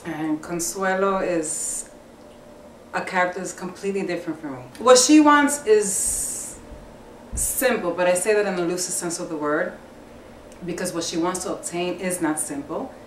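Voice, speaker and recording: New woman, actor talking about her part, kinda low voice, roomy w faint noise. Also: normal speed, distant mic. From New York, USA. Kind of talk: explaining her character on camera.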